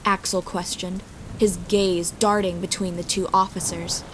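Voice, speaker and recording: A woman's voice: lowish voice, measured pace, a little hiss or hum, low-quality recording. A woman reading scripted fantasy story narration as the narrator. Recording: steady rain and storm ambience behind.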